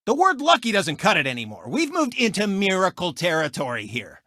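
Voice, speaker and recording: A man's voice: high voice, huge pitch swings, fairly quick, sharp tone, dry recording, clean studio sound, heavily acted. A man voicing a cocky, egotistical race car who hosts a TV racing show, with boastful, mocking showboat delivery in a video game. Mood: showy announcing. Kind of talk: animated video game sportscast patter.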